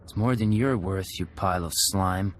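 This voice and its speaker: A man's voice: sits low, normal speed, over music, close to an everyday voice. A man acting a cool, controlled imperial secret agent in a video game, with terse delivery. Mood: defiant.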